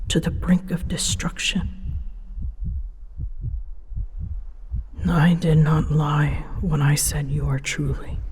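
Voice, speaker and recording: Slow, imperious dragon queen of storms voiced by a woman. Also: deep voice, big-room sound, slight background noise. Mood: tender, troubled. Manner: imperious, theatrical, measured, with hushed breathy phrases. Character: proud, scolding female dragon, sovereign of seas and storms. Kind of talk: scripted audio roleplay, ASMR drama.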